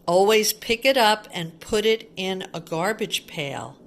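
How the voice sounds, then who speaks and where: A woman's voice: mid-range voice, lively intonation, a little slow, a little hiss or hum. A woman reading a picture book aloud to young children like a teacher, explaining simply with repeated words and little questions. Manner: simple child-directed talk with repetition and questions. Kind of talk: read-aloud storytime with simple asides to children.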